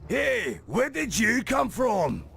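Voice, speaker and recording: A gruff, territorial hunter for a video game, with a heavily gravelly, high voice that rises and falls as he barks at intruders. Faint music plays behind. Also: huge pitch swings, slow pace, sharp tone, exaggerated voice. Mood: belligerent. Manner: belligerent, possessive.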